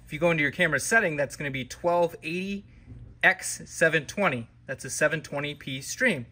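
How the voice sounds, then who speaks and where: Male product-tutorial presenter, slightly high pitch, very bright voice, informal step-by-step delivery. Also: fairly quick. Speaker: tutorial presenter for a security camera company.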